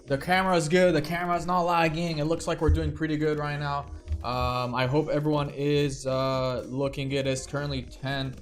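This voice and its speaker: A man's voice: fairly high pitch, little pitch movement, normal speed, soft background music. A man hosting a casual crypto trading livestream, rambling with lots of fillers and restarts. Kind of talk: casual live stream commentary.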